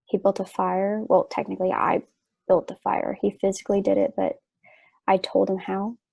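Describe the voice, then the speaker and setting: A woman playing a sick, trusting wife in a stage play reading, wistful and gently earnest. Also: mid-range voice, completely flat delivery, normal speed, vocal fry, husky, no room sound, dull audio. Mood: wistful, fond.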